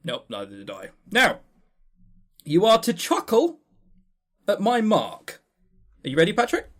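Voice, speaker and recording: Male podcast co-host: highish voice, animated swings in pitch, chatty, rambling and wryly sarcastic. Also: a little slow. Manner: rambling, sarcastic, dry humour; swears casually. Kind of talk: casual chat running through award lists.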